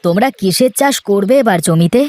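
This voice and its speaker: An earnest cartoon voice for a kindly youngest brother, played by a woman, at a medium pitch. He is eager to help and a little hesitant. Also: lively intonation, brisk, a little mellow, lightly acted. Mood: eager, obliging. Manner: eager, hesitant when suggesting. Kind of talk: children's cartoon dialogue.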